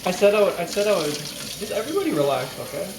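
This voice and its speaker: A man's voice: high voice, sing-song, fast talker, creaky, heavy background noise. A man casually chatting on a livestream from his home kitchen, with a playful, teasing, joking manner. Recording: echoey room, music behind.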